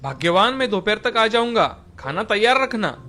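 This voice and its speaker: A man's voice: sits high, very animated, normal speed, sharp tone, faint music behind, exaggerated voice. A man playing a hard-working, secretive farmer husband in a moral-story cartoon, with theatrical, exclaiming delivery. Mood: matter-of-fact. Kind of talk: exaggerated Hindi moral-story cartoon dialogue.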